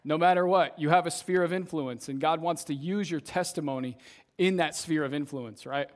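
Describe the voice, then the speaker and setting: Young man, youth pastor, casual sermon to a congregation, slightly raspy voice, fairly high pitch, conversational. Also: moderate pace. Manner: conversational, tags sentences with "right?". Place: church service, in front of a congregation.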